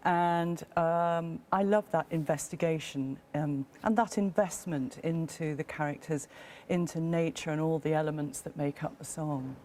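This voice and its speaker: A woman who sings, interviewed in a concert hall, answering thoughtfully and pausing often. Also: deep voice, fairly even intonation, warm tone, a little hiss or hum. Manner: thoughtful, hesitant with many ums. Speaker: guest singer, jury member. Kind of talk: interview chat.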